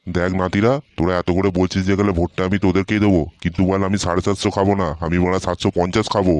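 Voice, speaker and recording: A man's voice: sits low, flat delivery, racing, a little mellow, close to an everyday voice. A man voicing a foul-mouthed, irritable old village grandpa in a comedy cartoon, scolding stubbornly. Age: older. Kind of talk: Bengali comedy cartoon voice acting. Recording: noisy audio with clicks.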